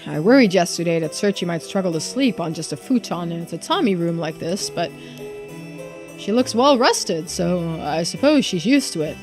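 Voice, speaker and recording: A woman's voice: fairly low pitch, animated, normal speed, soft background music, lightly acted. A woman reading aloud as a cheerful, free-spirited young male traveler in a video game, theatrical and wordy. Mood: musing.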